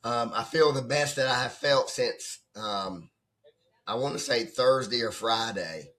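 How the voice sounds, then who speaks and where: A hoarse man who has nearly lost his voice, talking as a trading coach in a rambling, conversational way and often checking in with "right?". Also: medium pitch, a little slow, a bit bright. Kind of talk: casual off-the-cuff coaching talk. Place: at his computer desk.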